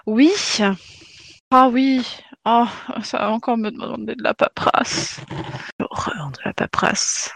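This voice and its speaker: A woman's voice: fairly high pitch, unhurried, vocal fry, a bit bright, in a whisper. A woman playing a tabletop roleplaying game who speaks in character, politely and matter-of-factly. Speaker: player.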